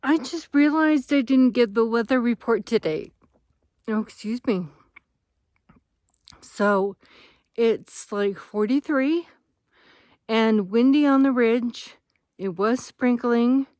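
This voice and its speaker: A woman hiker talks to her handheld camera out on a mountain trail, chatty and upbeat as she shows the way. Her voice sits a little high, and she takes her time. The tone stays casual and friendly. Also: darkish tone, no room sound, studio quality. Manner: chatty, upbeat, narrating a walking tour. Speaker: thru-hiker vlogger. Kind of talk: casual vlog narration.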